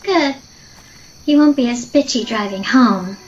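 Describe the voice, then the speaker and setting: A woman's voice: fairly high pitch, unhurried, a little mellow, lightly acted. A woman playing an earnest, easily spooked sister who chides and scolds in an audio drama. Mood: chiding. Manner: chiding. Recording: dull lo-fi audio, faint noise.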